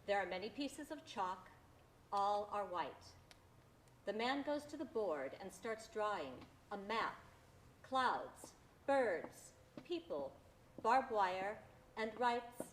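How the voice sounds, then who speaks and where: A woman reading scripted stage directions as narrator, in deliberate, measured phrases. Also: mid-range voice, a little hiss or hum, low-quality recording.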